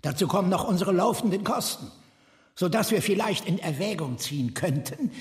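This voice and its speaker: An actor playing a haughty, sardonic, quick-tempered eccentric in a radio drama, articulate and theatrical in his delivery. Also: sits high, lively intonation. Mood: sly, sardonic. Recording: echoey, reverberant room.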